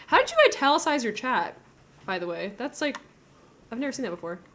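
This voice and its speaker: A woman's voice: a little high, sing-song, a little fast, a bit bright, a little hiss or hum. A woman game streamer chatting casually and thinking aloud as she builds, with a giggly, informal manner.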